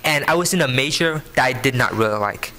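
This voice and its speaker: Bright-voiced young Vietnamese man giving a speech. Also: medium pitch, expressive, fairly quick, soft background music. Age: early 20s. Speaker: scholarship program student speaker. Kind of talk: prepared inspirational speech to an audience. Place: live benefit event before an audience.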